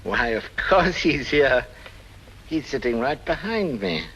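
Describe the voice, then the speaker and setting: An actor playing a suave criminal mastermind, mocking and smooth, heard through a telephone in an old detective drama. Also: male voice, mid-range voice, very animated, slow, fairly bright tone. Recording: noisy old soundtrack, telephone-like voice.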